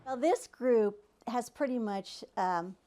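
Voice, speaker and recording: A woman's voice: fairly high pitch, slow pace, warm tone. A woman from an amateur radio theater troupe chatting in an interview, hesitant and full of restarts. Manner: hesitant, lots of ums and restarts. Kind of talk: casual interview.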